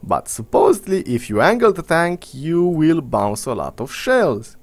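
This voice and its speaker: A man's voice: a little high, very animated, unhurried. A young man from Romania with a Romanian accent, giving casual, rambling gameplay commentary in English, blunt and sarcastic. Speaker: gaming commentator.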